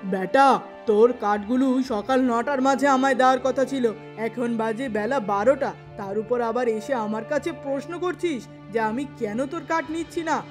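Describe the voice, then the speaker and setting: A woman's voice: on the high side, normal speed, faint music behind, close to an everyday voice. A woman voicing a curt, scolding male timber merchant in a cartoon moral tale. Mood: angry. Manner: curt, scornful.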